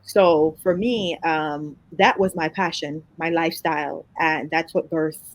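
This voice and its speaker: A woman's voice: low voice, fairly even intonation, measured pace. A woman from Jamaica with a Jamaican accent, chatting as an interview guest, laughing easily and trailing into fillers. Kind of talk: casual interview conversation.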